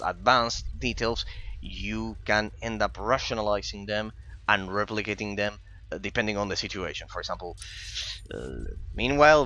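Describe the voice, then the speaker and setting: A man's voice: on the low side, sharp tone. A non-native English-speaking man with a European accent, rambling in casual commentary over a game. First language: not English. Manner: rambling, with frequent repeated words. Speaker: gaming streamer and English teacher.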